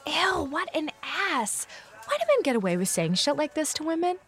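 An indignant, outspoken young friend in a radio drama. Her voice is high and very animated, with sassy, emphatic, chatty phrasing. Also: normal speed, full character voice. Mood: indignant, amused. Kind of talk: audio drama, casual chatty dialogue.

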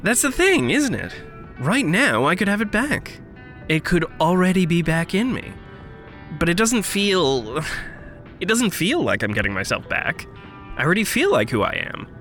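An actor in an audio drama playing a vulnerable, earnest big brother with magic, speaking in a halting, reflective, formal way. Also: male voice, high voice, very animated, fairly bright tone, faint music behind.

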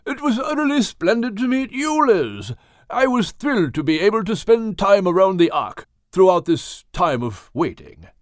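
A woman voicing a kindly, dignified male whale with a British accent and formal, measured manners in an audiobook. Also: medium pitch, sing-song, darkish tone, no echo, clean studio sound, voice pushed up, natural voice. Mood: warm farewell. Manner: formal, measured, hesitant courtesy.

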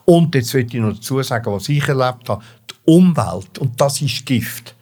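A man's voice: mid-range voice, animated, normal speed, a little mellow, slightly rough. An older man with a Swiss German accent tells his own story spontaneously, emphatically repeating words for stress. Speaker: interview guest telling his life story.

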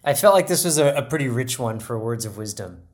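A man's voice: medium pitch, lively intonation, fairly quick, a little mellow. A man co-hosting a podcast, agreeing often and restarting sentences as he thinks aloud. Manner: agreeable, frequent backchannels and restarts. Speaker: podcast co-host. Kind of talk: casual analytical chat.

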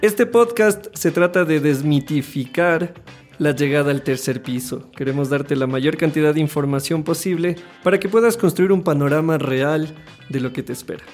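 A man's voice: a little high, soft background music. A man in his thirties from Ecuador with an Ecuadorian accent, co-hosting a casual podcast in a studio and acting out the people he quotes. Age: 30s. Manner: animated, acts out quoted people, slows to stress points. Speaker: podcast co-host. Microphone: studio microphone.

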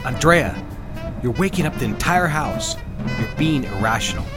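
A man's voice: medium pitch, lively intonation, moderate pace, over loud music, close to an everyday voice. An actor playing a stern, condescending married man placating his mistress in an audio drama, with a controlling manner. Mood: stern, exasperated. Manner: patronizing, controlling.

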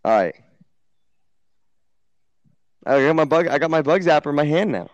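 A man's voice: a little high, expressive, fast talker, no room sound, highs cut off. A man chatting casually as host of a livestream, slangy and profane, often saying dude. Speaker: show host. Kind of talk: casual livestream group chat.

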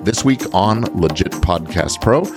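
A man's voice: sits low, expressive, measured pace, music in the background. A middle-aged man hosting a podcast, explaining things step by step to listeners with emphasis on key words. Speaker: podcast host; lawyer.

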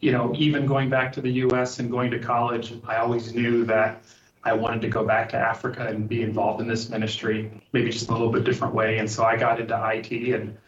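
A man's voice: mid-range voice, completely flat delivery, a little fast, a little hiss or hum. Middle-aged man on a distant, roomy line. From Liberia. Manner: thoughtful, links ideas with "and so". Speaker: podcast guest. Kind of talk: interview answers. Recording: distant, roomy, dull remote call audio.

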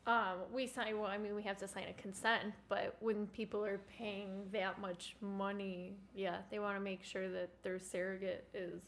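A woman's voice: mid-range voice, level tone, normal speed, few pauses, a little hiss or hum. A woman who is a guest in a casual chat, answering hesitantly with fillers. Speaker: surrogate, guest.

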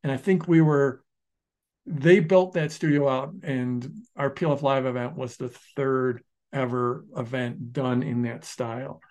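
A man co-hosting a business podcast, telling stories in a lively, restless way and restarting his sentences often. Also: mid-range voice, a little slow. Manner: animated storyteller, restarts sentences, shifts pace often. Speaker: podcast co-host, online business founder. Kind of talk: casual podcast conversation.

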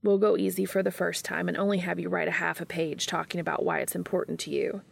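A woman voicing a composed, earnest, kind male college professor with a measured, instructive manner in a story reading. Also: fairly low pitch, level tone, vocal fry, husky, no room sound, studio quality, heavily acted.

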